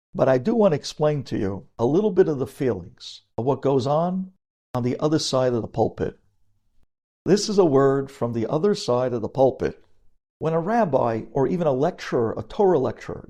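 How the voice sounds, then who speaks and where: Mellow-voiced rabbi, emphatic lecturer. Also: male voice, medium pitch. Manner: emphatic, repeats key phrases for weight; mixes Hebrew terms into English. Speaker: rabbi giving a religious lecture. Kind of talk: sermon-like Torah lecture.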